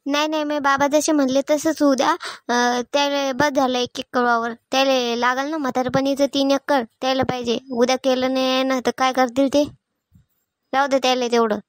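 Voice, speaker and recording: A woman's voice: high voice, little pitch movement, moderate pace, no echo, natural voice. A woman voicing blunt, exasperated village women in a cartoon family comedy, scolding and complaining in a rural Varhadi Marathi dialect. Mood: firm. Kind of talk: animated family comedy dialogue.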